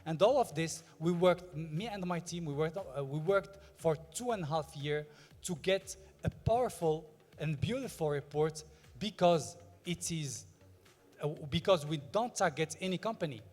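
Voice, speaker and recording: A man with a French accent, a native French speaker, giving a pitch speech on stage and pausing to search for his English words. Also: animated, darkish tone, big-room sound. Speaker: fintech founder.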